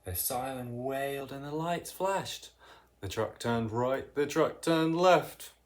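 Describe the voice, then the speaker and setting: A narrator reading a children's picture book aloud in an expressive, deliberate way with dramatic emphasis. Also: medium pitch. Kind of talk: read-aloud children's storytelling.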